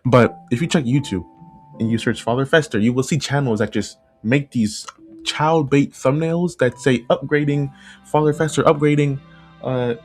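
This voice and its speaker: A man with a medium-pitched voice talks through his opinions as an online commentator. His delivery is conversational and opinionated, restating points for emphasis. Music plays faintly behind him. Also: moderate pace. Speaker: video essay host and analog horror creator. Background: background music.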